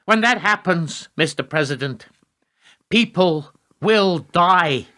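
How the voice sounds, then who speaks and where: A man's voice: high voice, expressive, takes it slowly, pushed and bright, full character voice. A male actor playing a cold, calculating presidential adviser in a radio play, speaking formally and insistently.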